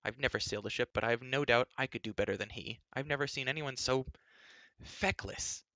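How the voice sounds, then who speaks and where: A man's voice: medium pitch, fairly quick, bright, forceful tone, no echo, pitched above the performer's own voice. A man voicing a resentful, hot-headed young pig keeper in a storybook, muttering and bitter. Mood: scornful. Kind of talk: audiobook character voice.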